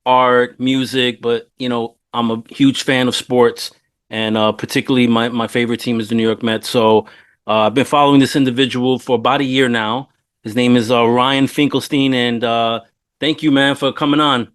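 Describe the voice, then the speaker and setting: A man's voice: medium pitch, level tone, normal speed, fairly bright tone, clear, resonant tone. A man of forty-nine chatting informally as the host of a sports interview podcast, with slangy, laid-back phrasing. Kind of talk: casual sports interview chat.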